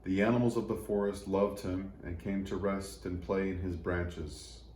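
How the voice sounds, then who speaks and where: Low, mellow male storybook reader. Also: flat delivery, moderate pace. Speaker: storybook reader for church children. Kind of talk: scripted children's story narration. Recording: echoey room, faint background noise.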